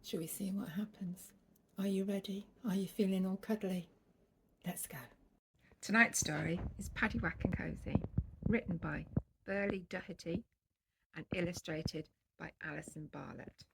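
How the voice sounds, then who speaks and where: A woman's voice: fairly low pitch, moderate pace, vocal fry, noisy background. A woman reading a children's bedtime story expressively, drawing out key words with theatrical emphasis.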